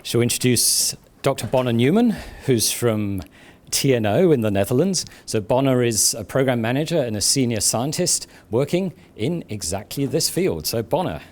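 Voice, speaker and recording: A man's voice: medium pitch, expressive, normal speed, darkish tone. A man hosting a seminar, briefly and plainly introducing the next speaker. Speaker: seminar host.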